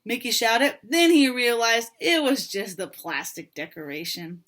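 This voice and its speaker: A woman reading a story aloud to young children in an expressive manner, pausing to talk to her listeners. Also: on the high side. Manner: expressive, pauses to ask children questions.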